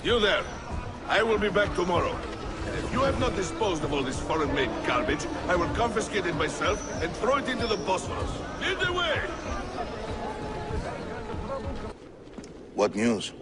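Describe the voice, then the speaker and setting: A haughty captain with wildly swinging pitch. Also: male voice, wildly swooping pitch, a little fast, over loud music. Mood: commanding, threatening. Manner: imperious, theatrical. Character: haughty, bullying Ottoman Janissary captain, bitter veteran. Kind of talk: video game character acting.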